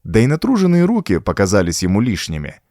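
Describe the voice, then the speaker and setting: A man reads a horror tale in a dramatic, suspenseful way. His fairly low voice swings widely in pitch as he builds each scene, and the recording is dry and close. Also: fast, no echo. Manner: expressive, suspenseful storytelling. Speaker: audiobook narrator. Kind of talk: dramatic scripted narration of a horror story.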